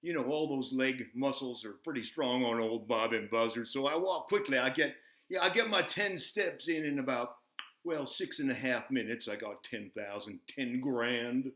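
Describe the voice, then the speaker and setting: A man voicing an excitable, folksy, bumbling buzzard who hosts a children's show, rambling and repeating himself as he chats. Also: medium pitch, normal speed, echoey room, close to an everyday voice. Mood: excited, boastful. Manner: folksy, repetitive, easily flustered, giggly exclamations. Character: excitable, folksy, bumbling old farm buzzard puppet host.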